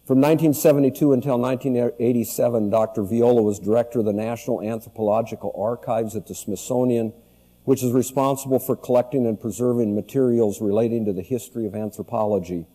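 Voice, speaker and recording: A man's voice: medium pitch, steady tone, fast, warm tone, in a large hall. A man introducing a guest speaker at a public lecture, reading formally from notes. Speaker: event host introducing the speaker. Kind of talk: read introduction. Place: lecture hall.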